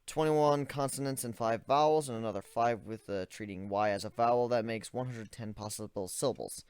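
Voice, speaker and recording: A man's voice: medium pitch, brisk, darkish tone, clean tone, dry recording, lightly acted. A man voicing a young griffin inventor, analytical and weary, in a dramatic story reading. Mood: focused, explaining. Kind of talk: dramatic reading of first-person fan fiction.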